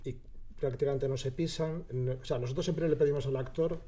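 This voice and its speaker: A man's voice: medium pitch, fairly even intonation, fairly quick, dark tone. A man with an accent from Spain, a scriptwriter explaining casually in an interview and rephrasing as he goes.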